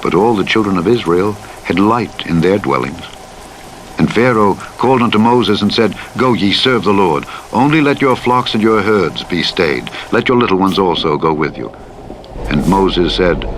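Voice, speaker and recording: A man with a fairly low voice narrates scripture. His delivery is solemn, formal and measured, in the manner of a Bible audiobook. Clear engine and road noise sits behind the reading. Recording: steady vehicle noise over playback. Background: truck simulator engine and road noise.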